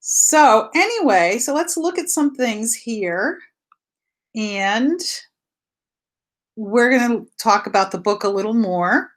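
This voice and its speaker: A woman's voice: fairly high pitch, lively intonation, slow. A woman teacher leading a read-aloud lesson for young children, explaining simply with repetition and posing questions she answers herself.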